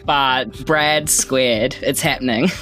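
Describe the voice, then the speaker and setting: A woman's voice: a bass voice, slow pace, rough recording. A woman playing a tabletop game, bantering casually with the others at the table. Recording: clear music behind the voice.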